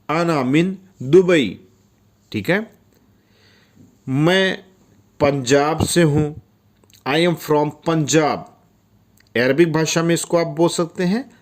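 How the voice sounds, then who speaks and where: Slow-spoken man teaching Arabic. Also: on the high side. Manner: repeats each phrase slowly in Hindi, English and Arabic; often checks in with "ठीक है?". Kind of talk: spoken Arabic lesson for Indian workers in the Gulf.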